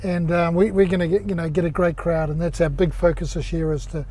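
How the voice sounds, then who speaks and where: A man with a New Zealand accent speaks in a mellow, fairly high voice. He talks with an older executive's easy, halting rhythm, full of fillers and restarts. He comes across as a relaxed guest in a casual interview.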